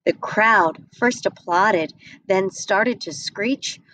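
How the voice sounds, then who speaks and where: A young adult woman reading a rhyming picture book aloud at a desk webcam, slow and expressive. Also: medium pitch, dry recording, poor audio. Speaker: storybook reader. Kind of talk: read-aloud storytelling. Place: small indoor room.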